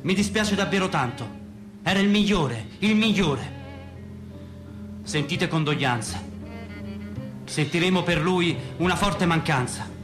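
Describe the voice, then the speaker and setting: An actor playing a solemn, grandiose orator in mourning, declaiming theatrically in a radio drama. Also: male voice, fairly high pitch, animated, a little slow, pauses often. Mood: mock condolence. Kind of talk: Italian stage-style radio drama monologue. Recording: music playing behind the voice. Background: instrumental music.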